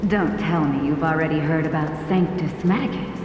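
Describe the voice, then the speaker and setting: Game role: scornful spectral female pharmacist , played by a woman. Low register, formal and cold, long hall reverb. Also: moderate pace, close to an everyday voice. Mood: defiant, scornful. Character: defiant, bitter female pharmacist, a spectral echo. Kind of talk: dramatic video game voice acting. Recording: echoey hall reverb, distant, loud music.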